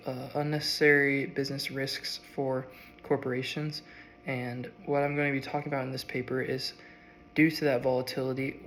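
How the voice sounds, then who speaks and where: Sick young man with a gravelly voice. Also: mid-range voice, a bit bright, slight background noise. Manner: halting, with frequent ums and uhs. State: sick, voice strained. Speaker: student. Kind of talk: class presentation speech.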